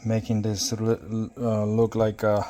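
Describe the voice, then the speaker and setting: A man giving a casual hands-on tutorial, speaking haltingly as he thinks aloud while working. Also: fairly low pitch, monotone, warm tone. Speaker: CB radio hobbyist demonstrating an installation.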